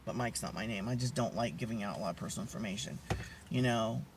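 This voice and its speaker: A young man with a high voice, telling personal stories in a rambling, candid way, often losing his thread. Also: quick pace, slight background noise. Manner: rambling, candid, lots of restarts and asides. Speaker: vlogger. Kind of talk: casual storytelling to camera.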